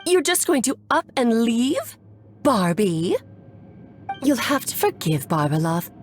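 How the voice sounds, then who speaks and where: A woman's voice: on the high side, sing-song, slow pace, faint music behind. A woman playing a cheerful, doting, whimsical witch in a video game, chatty and theatrical. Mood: light amusement. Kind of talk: scripted video game voice acting.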